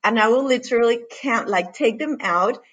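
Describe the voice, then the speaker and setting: Chatty Costa Rican woman, halting and warm. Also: fairly high pitch, a little hiss or hum. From Costa Rica. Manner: hesitant, many fillers and restarts; laughs often while talking. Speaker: guest. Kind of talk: casual interview conversation.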